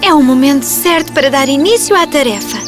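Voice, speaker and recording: A woman's voice: high voice, expressive, fairly quick, music in the background. A woman voicing a timid but brave young princess in a cartoon fairy tale, with a European Portuguese accent. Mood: determined.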